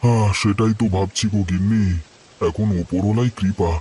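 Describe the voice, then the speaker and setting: A man's voice: fairly low pitch, fairly even intonation, brisk, mellow, slight background noise, close to an everyday voice. A man playing a poor, fretful but good-natured farmer husband in a children's cartoon, folksy and exclamatory. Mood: worried.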